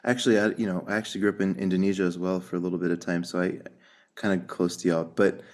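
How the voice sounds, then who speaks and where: A man hosting a film podcast, his voice sitting deep and low. He talks casually and eagerly, full of curious questions and quick asides. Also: little pitch movement, darkish tone. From United States. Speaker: podcast host. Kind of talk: casual interview chat.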